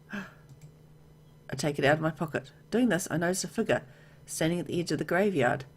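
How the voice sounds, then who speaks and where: A woman with a low voice narrates a story game aloud in a let's play style. She reads the text as a story and drops in casual remarks of her own as the player. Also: lively intonation, moderate pace, breathy edge. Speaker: gamer reading a visual novel aloud.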